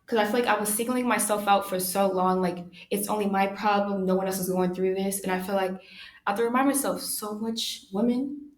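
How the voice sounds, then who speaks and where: A young woman hosting her own podcast, talking through personal thoughts in a soft, soothing voice, pausing often and using many fillers. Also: mid-range voice, fairly even intonation, a little hiss or hum. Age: young adult. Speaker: podcast host. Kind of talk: reflective personal monologue.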